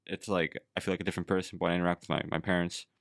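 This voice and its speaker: A man's voice: deep voice, flat delivery, very fast, creaky, a bit bright, no room sound, clean studio sound. A man hosting a casual conversation, talking reflectively and rambling with lots of "like". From Canada. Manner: reflective, rambling, heavy use of "like".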